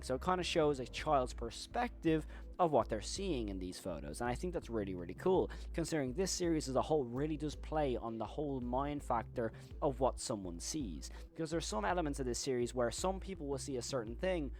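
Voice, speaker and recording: A man delivers video essay commentary as the host of a horror channel. His voice sits at a middling pitch. He explains things at length in a rambling way, doubling up on intensifiers for emphasis.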